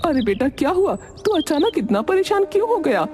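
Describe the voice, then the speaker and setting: A woman's voice: sits high, big pitch swings, moderate pace, background noise. A woman playing a loving, ailing mother of a grown son in a Hindi cartoon story, tender and encouraging. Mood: curious, concerned. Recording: loud background music.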